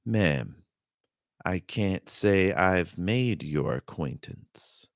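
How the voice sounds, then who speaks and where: A man's voice: deep voice, expressive, drawn-out words, creaky, dry recording, clean studio sound, full character voice. A man reading a novel, playing a guarded woman fighter who speaks in a polite, clipped, wary way. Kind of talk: storybook character reading.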